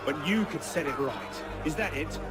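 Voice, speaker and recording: An actor playing a determined young assassin in a video game, terse and pressing. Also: male voice, fairly high pitch, animated, moderate pace, lightly acted. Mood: challenging. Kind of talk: game cutscene acting. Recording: loud music behind.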